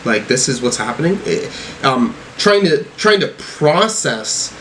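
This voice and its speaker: A man's voice: medium pitch, sing-song, sharp tone, poor audio. Casual rambling man over noticeable background noise. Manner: halting, rambling, slangy with occasional swearing. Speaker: music reviewer reacting to albums. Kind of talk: casual off-the-cuff reaction commentary.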